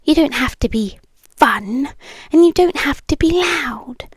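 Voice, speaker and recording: A British woman playing a possessive, doting yandere girlfriend in an intimate ASMR roleplay, soft, coaxing and often whispering close to the mic. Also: high voice, lively intonation, a little slow, no room sound. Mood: tender, soothing. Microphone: close microphone, dry.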